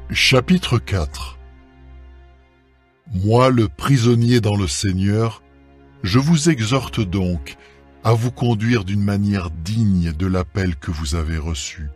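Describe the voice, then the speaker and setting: A man reading scripture aloud as a solemn scripted dramatised Bible recording, with measured, deliberate phrasing. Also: fairly low pitch, husky. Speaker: scripture reader. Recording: music under the voice. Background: background music.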